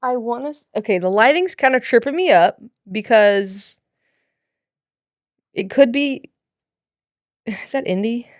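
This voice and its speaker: A woman chats casually in a fairly high voice with very animated pitch. She is slangy, playful and blunt, recorded close and dry. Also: slow, pauses often, no room sound. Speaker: friend guessing in a quiz. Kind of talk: casual chat.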